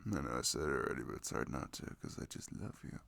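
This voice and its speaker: A man's voice: a bass voice, fairly even intonation, fast talker, creaky, fairly bright tone, exaggerated voice. A man playing a sweet, affectionate, nerdy young boyfriend in an ASMR roleplay, chatting tenderly in a casual, rambling way. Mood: affectionate, cozy. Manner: tender, rambling, giggly, gushing about games.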